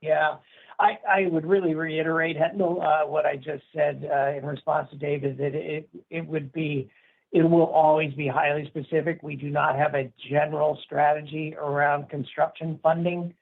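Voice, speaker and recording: Creaky-voiced male executive on a phone line. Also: fairly high pitch, little pitch movement. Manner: measured, emphatic, formal wording. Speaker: company executive answering analysts. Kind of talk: prepared-sounding conference call answers. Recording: narrowband conference phone line.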